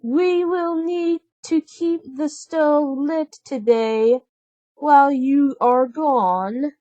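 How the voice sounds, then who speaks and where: A woman voicing a spiteful young stepsister in a storybook, with demanding, drawn-out calls. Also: high-pitched, dark tone, dry recording, highs cut off, pitched above the performer's own voice. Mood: bossy. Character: bossy, spiteful young stepsisters ordering chores.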